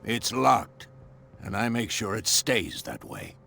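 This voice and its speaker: Hostile caretaker, very gravelly, raspy, forceful, old-fashioned tough talk, game cutscene. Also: male voice, on the low side, very animated, takes it slowly, exaggerated voice. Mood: stubborn, guarded. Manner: gruff, threatening, old-fashioned tough slang. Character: surly, hostile male caretaker guarding a burnt mansion. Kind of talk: video game cutscene acting.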